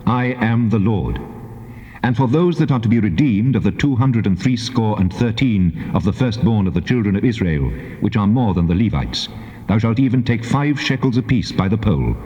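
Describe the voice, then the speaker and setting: A man plays a solemn, commanding deity, declaiming scripture in measured phrases. The low voice rises and falls expressively, ringing in a long, echoing reverb on a dull tape. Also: animated, mellow, natural voice. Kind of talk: dramatized King James Bible reading.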